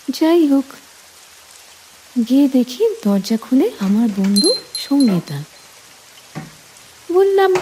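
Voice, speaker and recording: A woman narrating a scripted romantic story in the first person, deliberately, in short phrases. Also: sits high, mellow, slight background noise, low-quality recording.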